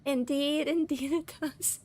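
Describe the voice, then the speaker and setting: A woman's voice: sits high, fairly even intonation, unhurried, darkish tone. A young woman streaming a game and chatting casually with viewers, a little scratchy from a cold, often laughing. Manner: chatty, giggly, greets viewers by name. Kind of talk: casual live-stream chat while gaming.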